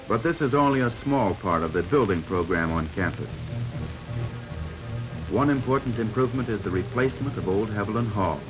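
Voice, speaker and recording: Male American newsreel announcer, 1950s style, mid-pitched, scripted delivery over a prominent music bed. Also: low-quality recording. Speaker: newsreel narrator. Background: background music.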